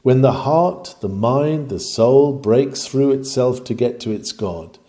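Male, very dark and mellow tone, mid pitch. Earnest, measured sermon delivery with rhetorical weight. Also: animated. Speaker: reader of a historical sermon. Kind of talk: sermon, formal oratorical reading.

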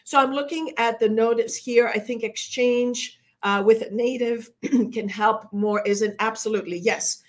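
A middle-aged woman coaches public speaking in a live webinar. She talks in a lively, chatty way, reading out viewer comments from a bright indoor room, seated before a webcam. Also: medium pitch. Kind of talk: live-streamed webinar talk.

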